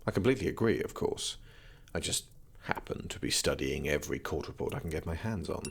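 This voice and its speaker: Young royal scholar: condescending, formal, very low and gravelly, audio drama. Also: male voice, lively intonation, slight background noise, exaggerated voice. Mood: earnest, formal. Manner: formal, measured, haughty. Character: condescending, formal young prince studying magic.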